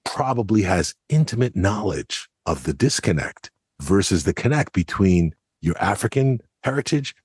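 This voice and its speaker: A man with a lowish, husky voice talks in a conversational analytical style as a podcast co-host. His intonation is very lively, and the sound is dry. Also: moderate pace, no room sound.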